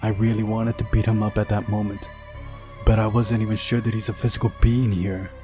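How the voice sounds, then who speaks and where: A man's voice: lowish voice, little pitch movement, fairly quick. A man reading a visual novel aloud as the narrator of a playthrough. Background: music.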